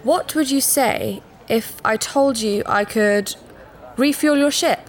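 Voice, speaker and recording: An actress playing a proud, secretive alien princess in disguise, formal and precise, in a sci-fi audio drama. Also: female voice, a little high, slow pace, slight background noise. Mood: earnest, businesslike. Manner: formal, precise, earnest, easily affronted.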